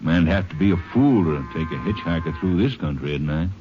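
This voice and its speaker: Casting: folksy Texas sheriff, deep and laconic, vintage radio western, muffled with some hiss. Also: male voice, animated, fairly quick. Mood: doubtful, questioning. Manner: folksy drawn phrasing, laconic. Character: plain-spoken, folksy male Texas county sheriff. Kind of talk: 1950s radio western drama acting. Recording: dull old radio recording with clear hiss.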